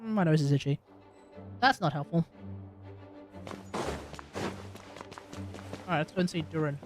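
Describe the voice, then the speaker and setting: A man's voice: a little high, unhurried, faint music behind. A man with an Australian accent giving casual, opinionated, rambling live commentary as he plays a game. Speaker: game streamer.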